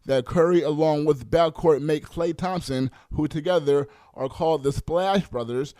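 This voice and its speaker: Mellow, higher-voiced male radio sports reporter. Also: moderate pace, dry recording, studio quality.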